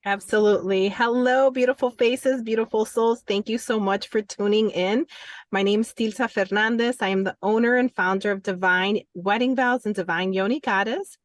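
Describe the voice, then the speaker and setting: A woman's voice: medium pitch, steady tone, moderate pace, dry recording. Effusive woman co-host, dry close sound. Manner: effusive, warm praise. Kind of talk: podcast interview.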